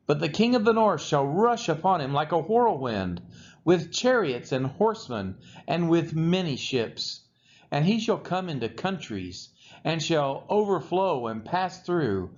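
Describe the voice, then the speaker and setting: Man reading scripture aloud, widely swinging pitch. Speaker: scripture reader. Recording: dull lo-fi recording with faint noise.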